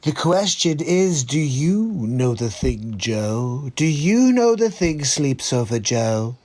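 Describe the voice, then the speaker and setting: A man's voice: mid-range voice, sing-song, slow pace, a little mellow. A man hosting a live comedy stream, mocking the clips he plays with sarcastic, incredulous asides. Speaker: livestream comedy host. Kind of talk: mocking commentary between clips.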